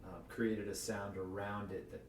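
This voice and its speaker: A man's voice: fairly low pitch, level tone, measured pace. A man hosting a podcast, talking in a thoughtful, rambling way with lots of fillers. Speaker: podcast host. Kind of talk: casual interview chat. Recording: echoey room, distant mic, faint noise.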